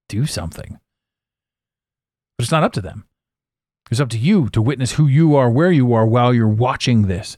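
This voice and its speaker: A man hosting a solo podcast, talking intensely and repeating words as his voice swings between loud and quiet. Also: mid-range voice, no room sound, clean studio sound. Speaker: podcast host.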